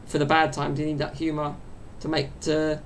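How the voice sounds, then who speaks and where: A man's voice: completely flat delivery, moderate pace, slight background noise. A young man in his twenties hosting a solo podcast, rambling and chatty, speaking into a desk mic set off to the side in his bedroom. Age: 20s. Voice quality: high-pitched, gravelly. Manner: chatty and meandering, full of fillers. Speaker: podcast host. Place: small bedroom at home.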